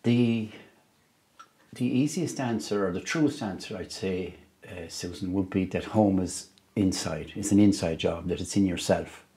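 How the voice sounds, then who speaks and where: An Irish musician and storyteller giving reflective interview answers at home, speaking slowly and thoughtfully, with frequent pauses and restarts. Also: male voice, low voice, warm tone, breathy edge. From Ireland. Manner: slow, thoughtful, halting with many restarts.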